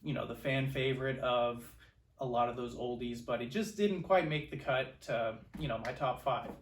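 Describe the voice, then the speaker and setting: A man's voice: mid-range voice, moderate pace. A man, casually discussing films as a podcast co-host in an enthusiastic, earnest way, recorded in a roomy space away from the microphone. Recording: roomy echo, distant from microphone.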